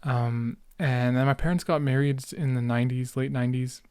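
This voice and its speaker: Young man with a smooth, clear voice. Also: medium pitch, fairly even intonation, normal speed, darkish tone, dry recording, clean studio sound. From Canada. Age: late teens, young adult. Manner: rambling, self-interrupting, youthful slang. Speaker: podcast host. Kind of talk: casual solo chat, reminiscing.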